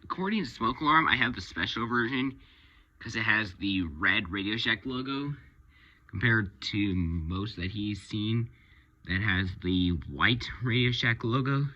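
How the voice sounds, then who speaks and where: A man with a lowish, very bright and forceful voice talks through a casual product review. He goes slowly and haltingly, often pausing partway through a thought. The sound is somewhat dull and lo-fi. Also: animated. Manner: halting, rambling delivery with pauses mid-sentence. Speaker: hobbyist video reviewer.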